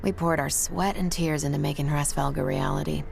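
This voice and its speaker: An actress playing a brash, loyal ace test pilot in a video game, speaking in a casual, confident, plain-spoken way. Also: female voice, sits low, steady tone, brisk, vocal fry, darkish tone, slight background noise. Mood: nostalgic. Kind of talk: dramatic video game cutscene acting.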